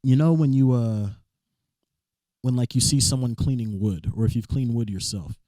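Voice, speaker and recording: A young man with a raspy voice, a musician chatting as a guest, often restarting his sentences. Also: medium pitch, moderate pace, warm tone, no room sound. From Southern California. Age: young adult. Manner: rambling, stammers on restarts. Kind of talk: casual interview chat.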